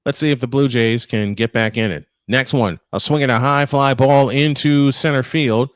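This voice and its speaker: A man's voice: medium pitch, normal speed, smooth, dry recording. A man doing live baseball play-by-play, mixing commentary with casual banter as host.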